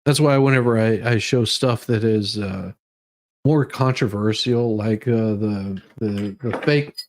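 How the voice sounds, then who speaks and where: A man hosting a casual livestream, chatting to viewers in a rambling, halting way that often repeats words. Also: on the low side, a little hiss or hum. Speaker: livestream host. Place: room at home.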